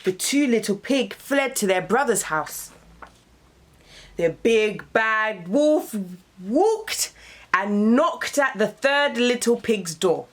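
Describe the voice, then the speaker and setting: Young female storybook narrator: dramatic emphasis, unhurried, highish voice with lively swoops. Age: young adult. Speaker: radio host, storyteller. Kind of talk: expressive children's storybook narration.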